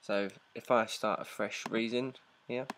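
A man presenting a casual software tutorial in an informal, halting step-by-step way. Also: lowish voice, fairly even intonation, a little hiss or hum. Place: indoors, voice-over on a screen recording.

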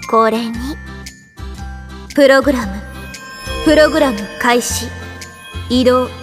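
An actress playing a haughty, cool but secretly soft-hearted young sorceress in a Japanese anime audio drama, curt and aloof. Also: female voice, on the high side, slow pace, soft background music.

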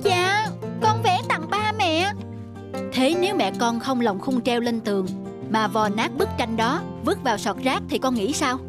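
A man playing a gentle, patient father who explains things to his small son in a cartoon. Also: very high voice, expressive, unhurried, over music. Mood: patient, earnest. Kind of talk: cartoon dialogue.

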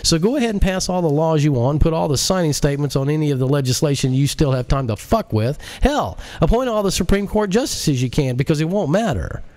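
A man's voice: fairly high pitch, lively intonation, fast talker, few pauses, a little mellow, pitched above the performer's own voice, lightly acted. A man voicing a cocky, sardonic computer genius with a mocking, folksy, scolding manner in an audiobook. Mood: scolding, defiant.

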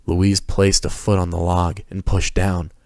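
A man narrates scripted fiction. His voice sits very low, and the recording is dry and close, with hardly any room in it. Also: flat delivery, a little slow, a little mellow, no echo.

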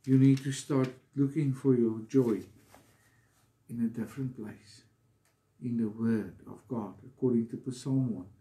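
A man preaching a devotional sermon in an earnest, deliberate manner, pausing between short phrases. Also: mid-range voice, fairly even intonation, warm tone, low-quality recording. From South Africa.

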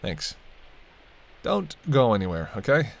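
A man's voice: lowish voice, sing-song, unhurried, gravelly, full character voice. A man playing a sardonic, teasing vampire in an audio roleplay, talking casually and wryly to the listener as a reluctant companion. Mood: teasing, watchful. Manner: wry, bantering, casual, muttered asides. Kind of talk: intimate audio roleplay acting addressed to the listener.

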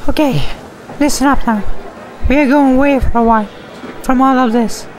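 A woman acts out a young wartime mother in an animated horror short, anxious and protective of her child. Her voice is very high and she speaks gently. There is a faint noise of ambience behind her. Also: moderate pace, lightly acted. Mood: reassuring.

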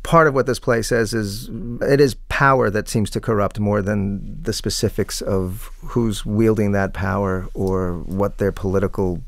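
A man's voice: fairly low pitch, fairly even intonation, unhurried, few pauses, no echo, studio quality. A middle-aged or older man, a playwright talking reflectively as an interview guest.

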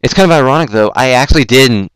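A young man hosting a casual online show, rambling and self-deprecating, with lots of stumbling restarts and a throat that is going hoarse. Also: medium pitch, lively intonation, fast talker, a bit bright, no room sound, rough recording. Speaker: podcast host. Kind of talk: casual chat on a Skype call.

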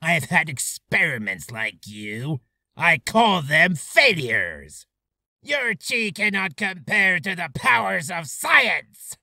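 A man's voice: fairly high pitch, very animated, slow, gravelly, pushed and bright, dry recording, full character voice. A man voicing a cruel mad-scientist villain, gloating with theatrical menace for a voice reel. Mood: gloating, menacing. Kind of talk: character voice reel.

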